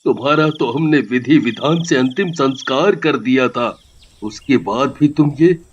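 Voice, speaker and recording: A man playing an earnest, dismayed young husband in an animated horror story, urgent and dramatic. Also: mid-range voice, a little slow, a little hiss or hum, natural voice. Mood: shocked. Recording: slightly dull, muffled recording.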